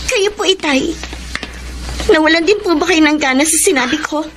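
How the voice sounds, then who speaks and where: An actress playing a young daughter, polite yet stubborn, in a Filipino radio drama. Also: female voice, sits high, normal speed, noisy background, natural voice. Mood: defiant.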